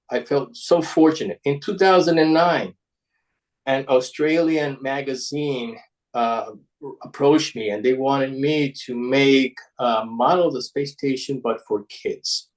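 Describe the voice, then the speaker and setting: A man with an Ecuadorian Spanish accent giving an informal slide presentation, speaking haltingly and often restarting his words. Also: medium pitch, rough recording. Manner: halting, with repeated word restarts. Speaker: guest presenter, paper model designer.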